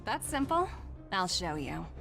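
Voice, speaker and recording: An actress playing a confident young female corporate emissary in a game, smooth-talking, poised and persuasive. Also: on the low side, big pitch swings, measured pace, heavily acted. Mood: assured, persuasive. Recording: light music behind the voice.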